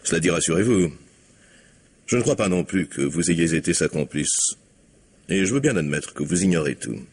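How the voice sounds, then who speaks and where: A low, husky male doctor from an old radio mystery. He is sardonic and sure of himself, insinuating politely with condescension and veiled threat. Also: expressive, moderate pace, exaggerated voice. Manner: polished, condescending, quietly threatening. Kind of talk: 1950s French radio mystery acting.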